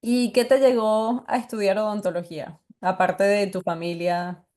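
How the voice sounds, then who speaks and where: A woman's voice: mid-range voice, fairly even intonation, moderate pace, darkish tone. A woman hosting a podcast interview, welcoming the guest and asking questions with a few small stumbles. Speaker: podcast host.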